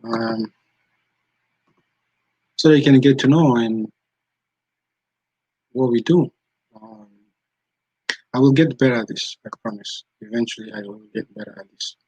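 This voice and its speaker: A man's voice: medium pitch, animated, a little slow, creaky, pauses often, mellow, breathy edge, no room sound, highs cut off. A man from Rwanda, hosting a live stream, chatting casually and answering viewers' comments as they come in. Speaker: channel host.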